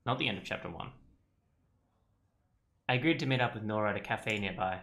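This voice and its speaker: Bright-voiced man commenting on a game. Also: lowish voice, fairly quick. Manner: rambling, self-deprecating. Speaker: game streamer. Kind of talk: casual let's play commentary.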